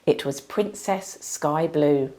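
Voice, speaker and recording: A woman reading a children's storybook aloud as narrator, with dramatic, deliberate emphasis. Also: sits low, mellow.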